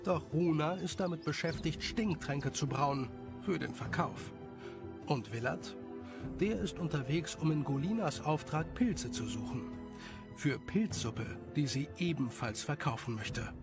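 A male narrator tells a scripted story in a fairly high, creaky voice. Music plays clearly behind him. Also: animated, measured pace.

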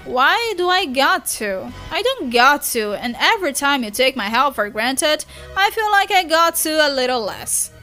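A woman's voice: high-pitched, big pitch swings, unhurried, sharp tone, soft background music, full character voice. A woman playing a prim, wry young female troll. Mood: dry, prim.

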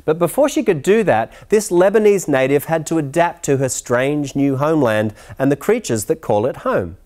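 A middle-aged man hosting an arts program, presenting a script from a studio set with a warm, lilting cadence. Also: a little high, expressive, moderate pace. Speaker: host. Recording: music bed under the voice.

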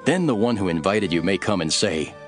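Solemn male teacher-prophet, low voice, very varied intonation, earnest preaching, scripture drama. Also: expressive. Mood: earnest teaching. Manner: measured, emphatic, preaching. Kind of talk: dramatized scripture reading over music. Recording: music behind the voice.

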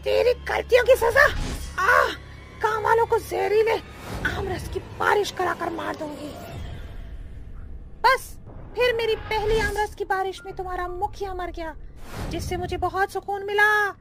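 Cartoon witch, super high voice, evil and gloating, dramatic, music under it. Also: lively intonation, a little slow, heavily acted. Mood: vengeful. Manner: menacing, theatrical. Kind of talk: Hindi horror cartoon voice acting.

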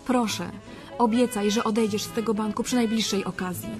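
A woman's voice: medium pitch, steady tone, a little fast, music in the background, lightly acted. An actress playing a principled, indignant young woman in a radio drama, reproachful and emphatic. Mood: indignant, pleading. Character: indignant, principled young woman scolding her banker date.

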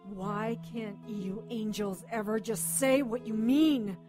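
A woman's voice: a little high, fairly even intonation, takes it slowly, music in the background. A woman playing a feisty, outspoken doctor who also narrates her supernatural case in a radio drama. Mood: defiant. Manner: defiant, outspoken. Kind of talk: radio drama acting with first-person narration.

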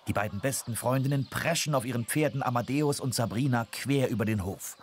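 A man narrating a children's audio drama from a script in an expressive storytelling manner. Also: medium pitch, a little fast, a little hiss or hum.

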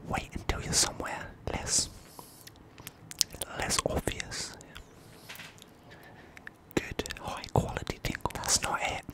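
A young man whispers very close to the microphone in an ASMR roleplay as a shady, secretive dealer, breathy and furtive, often repeating words over and over. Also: slow pace, long pauses. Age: young adult. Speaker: ASMR performer. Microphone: close studio microphone at the mouth.

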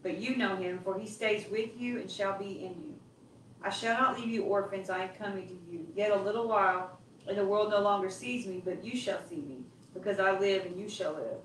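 A woman in a home Bible study group reading scripture aloud in a steady, measured way, recorded by a camera in a living room. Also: mid-range voice, a little hiss or hum. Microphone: far camera in the room. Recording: distant voice in echoey room.

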